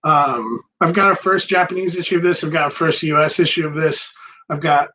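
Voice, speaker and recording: A man hosting a casual chat about records, relaxed and rambling with many pauses and ums. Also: on the high side, slight background noise. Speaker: record store host.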